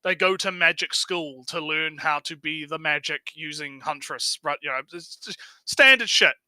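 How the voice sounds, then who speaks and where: A man's voice: fairly high pitch, moderate pace, sharp tone, no room sound. A man giving casual, unscripted stream commentary, rambling and swearing, often tagging his sentences with "right?". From outside the United States.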